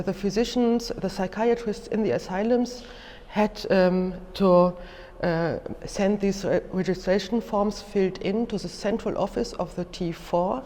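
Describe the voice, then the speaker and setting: Woman lecturer, German accent, slow halting delivery, careful explanations, long hall reverb. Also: on the low side, dark tone. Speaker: museum lecturer. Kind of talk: educational lecture, unscripted explanation.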